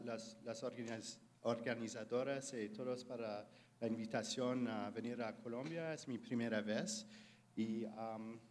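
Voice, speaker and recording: A man giving an academic lecture at a conference in a relaxed way, with frequent ums and uhs. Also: medium pitch, slight background noise. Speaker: guest lecturer.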